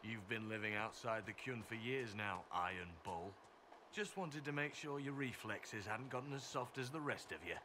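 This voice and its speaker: A man's voice: fairly low pitch, moderate pace, noisy background, natural voice. An actor playing a stern, zealous male elf agent in a video game, clipped, commanding and scornful. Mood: stern, disdainful. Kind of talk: dramatic video game acting.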